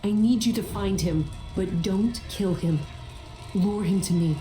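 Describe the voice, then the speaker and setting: A woman playing a vengeful, resolute leader of assassins in a video game, speaking in a measured, dramatic way. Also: mid-range voice, dark tone, soft background music, natural voice. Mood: grim resolve.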